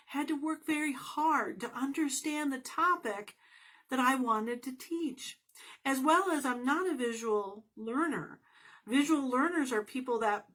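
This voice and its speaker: A woman's voice: on the high side, a bit bright. A woman and former math teacher giving an earnest, encouraging motivational talk to her viewers, with playful asides.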